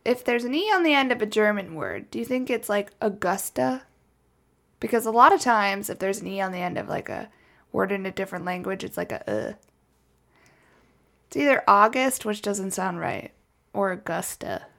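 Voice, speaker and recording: A woman's voice: mid-range voice, animated, normal speed, creaky, fairly bright tone. A woman telling a true crime story casually from her notes, as a podcast host, with chatty, wry asides.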